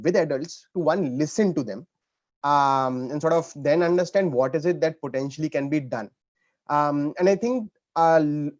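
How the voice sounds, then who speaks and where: A man answering as a panel speaker, explaining in a halting way with repeats and rephrasing, on dull call audio. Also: a little high, darkish tone.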